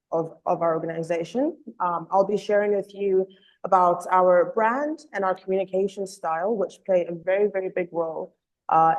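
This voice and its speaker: A woman giving an earnest online presentation as a communications officer, slightly hoarse from a cold, with frequent ums and uhs. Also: fairly low pitch, low-quality recording. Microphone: video call.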